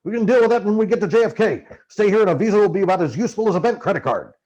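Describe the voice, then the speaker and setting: A man acts out a profane American journalist in an audiobook, ranting and paranoid. His husky voice leaps about as he fires off emphatic, urgent commands. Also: high-pitched, animated, rapid-fire, darkish tone, dull audio, pitched above the performer's own voice. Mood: angry, dismissive. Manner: urgent, barking, emphatic orders. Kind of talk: dramatized audiobook dialogue.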